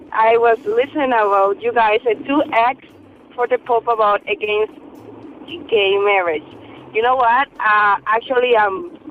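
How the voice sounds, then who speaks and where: A woman from Peru with a Peruvian Spanish accent, calling a radio show and giving her opinion in an earnest, emphatic, halting way. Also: on the high side, a little hiss or hum. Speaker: caller. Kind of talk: phone-in opinion. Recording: tinny phone line.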